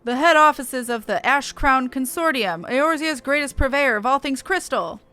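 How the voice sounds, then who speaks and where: A woman's voice: high-pitched, lively intonation, normal speed, bright, forceful tone. A woman voicing a genteel male merchant chairman from a fantasy game, courteous and idealistic, in formal, grandiose speech. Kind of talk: reading game dialogue aloud.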